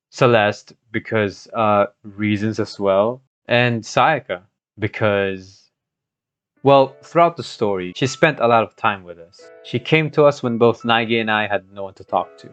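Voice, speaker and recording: A man's voice: lowish voice, unhurried, fairly bright tone. A young man with a Filipino accent narrates a game playthrough from a script, with casual asides. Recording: dull scuffed mic, music behind.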